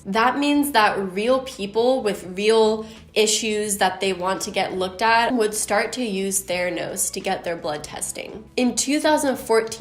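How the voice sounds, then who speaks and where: A chatty woman tells a story in a loose, casual way, her voice on the deeper side. She leans on "like" as she talks. The sound is roomy, with a clear echo around her. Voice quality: somewhat deep voice. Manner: chatty, uses "like" often. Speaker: YouTube storyteller.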